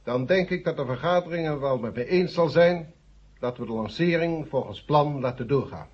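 A man's voice: fairly high pitch, moderate pace, breathy edge. An actor playing a stern, indignant space agency chairman in a 1970 radio drama, speaking formally and pompously. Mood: grudging, resigned. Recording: dull, muffled old radio recording.

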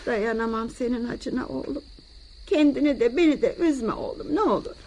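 A woman's voice: a little high, lively intonation, normal speed, a little mellow. A woman playing an anxious, long-suffering mother in a Turkish radio play. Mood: alarmed, pleading. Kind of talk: Turkish radio theater acting.

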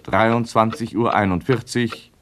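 A man acts an impassive official timekeeper in a radio drama. His voice is fairly low and unhurried, formal and factual, over faint noise with a ticking clock. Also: fairly even intonation, close to an everyday voice. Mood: neutral, official. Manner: clipped, formal, matter-of-fact. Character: impassive male timekeeper announcing record clock times. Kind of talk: 1970s German radio play announcement.

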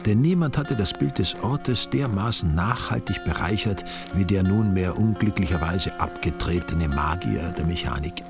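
A low-voiced male narrator of a village crime story. He tells it in a wry, dry, literary way, with music clearly behind him. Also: animated, moderate pace, hardly any pauses. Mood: wry, measured. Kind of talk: scripted radio drama narration.

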